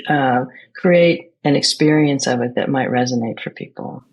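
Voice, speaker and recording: A woman speaking as an interview guest, reflective and measured, pausing often as she thinks. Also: rumbling low, breathy edge, lo-fi sound. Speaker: interview guest, poet and theater maker.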